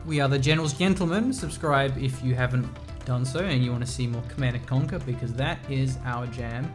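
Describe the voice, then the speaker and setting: A man's voice: medium pitch, brisk, music in the background. A man casting a strategy game match, bantering with his co-caster. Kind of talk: casual esports commentary.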